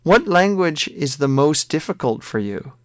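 A man reading a scripted listening exam, both the dialogue lines and the numbered questions, in a slow, careful textbook manner. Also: fairly high pitch, big pitch swings, no room sound, clean studio sound. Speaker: listening test reader.

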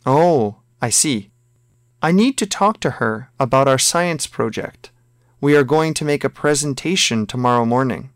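A man reading a scripted listening exam, both the dialogue lines and the numbered questions, in a slow, careful textbook manner. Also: mid-range voice, animated, no echo, clean studio sound. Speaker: listening test reader.

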